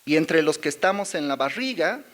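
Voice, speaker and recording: A man with a Bolivian accent presenting research charts at a conference, with many pauses, fillers and little tag questions. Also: fairly high pitch, lively intonation. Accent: Bolivian Spanish. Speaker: conference speaker. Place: conference hall.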